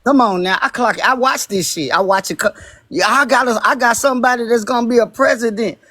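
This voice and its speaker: A man with a naturally high-pitched voice talking as a guest on a live stream, emphatic and blunt, repeating his points and swearing freely. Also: brisk, pushed and bright, no echo. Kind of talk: heated casual chat.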